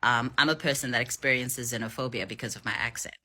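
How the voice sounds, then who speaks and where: A woman from South Africa talks as an online commentator. Her voice is low, bright and forceful, with a rough husky edge. She delivers her opinions emphatically and with indignation. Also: steady tone, fast talker. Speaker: reaction video creator. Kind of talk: opinionated social commentary to camera.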